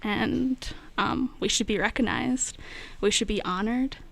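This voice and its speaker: A young woman, a poet and radio guest, talking slowly and reflectively in a studio with many pauses and fillers. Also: fairly high pitch, vocal fry, fairly bright tone. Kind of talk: spoken word poetry and casual radio talk.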